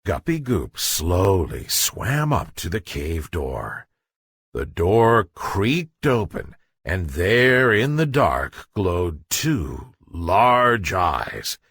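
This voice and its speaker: Male children's storyteller, husky, dramatic and unhurried, with huge pitch swings and a dry sound. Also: big pitch swings, a bit bright, no echo. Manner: dramatic, builds suspense. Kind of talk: expressive scripted bedtime story narration.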